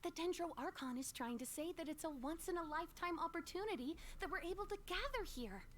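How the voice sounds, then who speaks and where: A high-pitched, eager young friend. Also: female voice, expressive, fast. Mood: excited. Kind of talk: video game dialogue acting.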